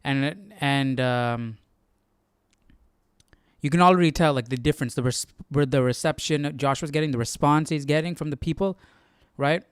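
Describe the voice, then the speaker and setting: Clear-voiced man musing casually. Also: a little high, normal speed, dry recording, studio quality. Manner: musing, tags sentences with "right?". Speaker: host. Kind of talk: casual live Bible study commentary.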